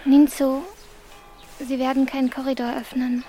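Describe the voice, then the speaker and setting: A woman's voice: high-pitched, little pitch movement, moderate pace, mellow. An actress playing a cautious thirteen-year-old girl, a loyal friend, speaking plainly in a naturalistic radio drama. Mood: anxious.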